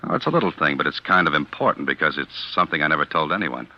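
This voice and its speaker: An actor playing an earnest, plain-spoken young ex-convict on trial, in 1940s radio drama style. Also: male voice, very deep voice, animated, exaggerated voice. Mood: hesitant, tense. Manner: plain-spoken, halting, insistent. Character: accused young ex-convict, earnest, grimly determined under pressure. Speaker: defendant on trial. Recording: dull vintage 1940s radio recording.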